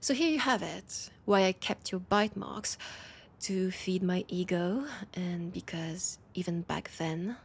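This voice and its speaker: A woman with a French accent playing an ancient, proud and wistful vampire veteran in an intimate audio roleplay, with a husky, breathy voice and lingering pauses. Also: on the low side, full character voice. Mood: tender, sentimental. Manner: slow, sighing, lingering pauses.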